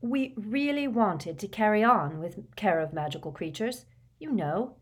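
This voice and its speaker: A woman's voice: high-pitched, sing-song, unhurried, voice pushed up, exaggerated voice. A woman voicing an anxious, timid, well-meaning teenage schoolgirl in an audiobook, speaking in a nervous, hesitant, tremulous way. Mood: timid, pleading.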